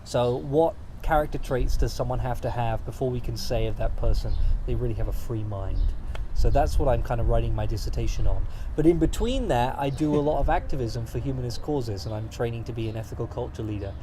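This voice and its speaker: A man's voice: medium pitch, a little fast, background noise. A young British man, articulate, enthusiastic and wry, talking as an interview guest in a studio. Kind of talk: casual interview conversation. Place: studio in front of cameras.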